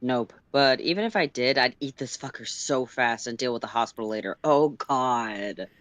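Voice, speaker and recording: A man voicing a deadpan, sarcastic teenage boy with a blunt delivery, in a read-aloud story. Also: medium pitch, normal speed, dry recording, lightly acted. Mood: eager. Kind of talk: acted dialogue in a story reading.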